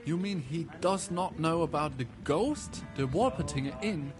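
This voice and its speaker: A man's voice: fairly high pitch, expressive, normal speed, over music, lightly acted. A man voicing a proud, easily scandalised innkeeper in a video game, speaking in a declamatory way. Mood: indignant. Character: proud, indignant male innkeeper. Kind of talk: video game character dialogue.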